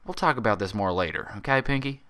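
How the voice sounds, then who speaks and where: A man voicing a motherly, patronizing female pony in a story reading, speaking in a gently chiding way. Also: lowish voice, expressive, quick pace, clear, resonant tone. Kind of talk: dramatized fan fiction reading.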